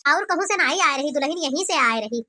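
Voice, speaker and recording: Woman voicing shrill, high-pitched elderly village mother-in-law. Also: animated, a little fast, sharp tone. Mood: suspicious, insistent. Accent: Awadhi. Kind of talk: amateur cartoon comedy acting.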